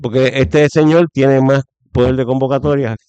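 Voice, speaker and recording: Puerto Rican man, Puerto Rican accent, mid-pitched, emphatic guest commentator full of fillers; slightly dull, dry recording. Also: steady tone, normal speed, dark tone, clear, resonant tone, no echo. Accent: Puerto Rican Spanish. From Puerto Rico. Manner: emphatic, many fillers and restarts. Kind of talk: opinionated conversational commentary.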